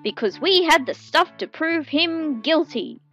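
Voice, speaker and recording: A woman voicing a loud, blustering male police captain. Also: high-pitched, lively intonation, a little slow, dull audio, faint music behind, pitched above the performer's own voice, heavily acted. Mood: explaining.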